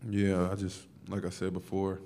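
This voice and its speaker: Young man, deep flat voice. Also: moderate pace, slight background noise. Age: 20s. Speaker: college basketball player. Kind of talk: casual press conference answers. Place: press conference room, indoors. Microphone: table microphone.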